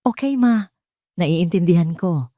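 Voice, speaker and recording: A woman's voice: lowish voice, lively intonation, unhurried, warm tone, no room sound, studio quality. Woman acting a cold businessman. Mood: subdued. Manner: hard, emotionless. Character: cold, troubled young male billionaire businessman.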